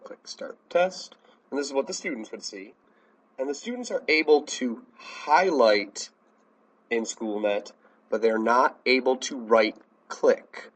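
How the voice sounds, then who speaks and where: An American man teaching a software tutorial in a plain, step-by-step instructional manner. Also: medium pitch, a little slow, slightly rough, rough recording. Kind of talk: instructional screencast narration.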